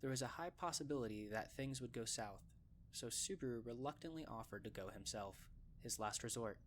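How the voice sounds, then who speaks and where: Narrator for audio drama: man, medium-pitched, scripted storytelling, slight ambient noise behind him. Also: brisk.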